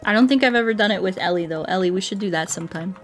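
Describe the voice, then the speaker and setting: A woman's voice: mid-range voice, rapid-fire. Playful woman chatting over music. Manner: playful, rambling, goofy. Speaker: game livestreamer. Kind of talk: casual livestream chat while playing.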